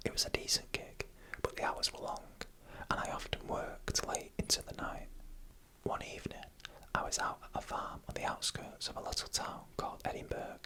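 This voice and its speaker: A narrator reading eerie stories in a hushed ASMR whisper, with deliberate pauses between phrases.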